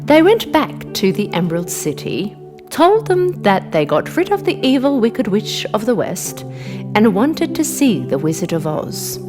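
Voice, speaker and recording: A woman narrating a scripted children's fairy tale in an expressive, dramatic way. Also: medium pitch, measured pace. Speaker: storyteller, narrator. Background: music.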